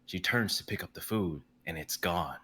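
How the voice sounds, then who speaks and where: A young man narrating the stage directions of a dramatic reading in a steady, descriptive way. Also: deep voice, moderate pace, husky. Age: young adult.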